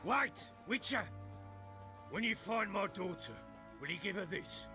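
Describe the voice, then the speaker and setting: Game nobleman: brooding, blunt family man, slow and forceful delivery with wide pitch swings. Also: expressive, big-room sound, over music. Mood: pleading. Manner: rough-spoken, weighty storyteller. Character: blunt, brooding nobleman, a troubled husband and father.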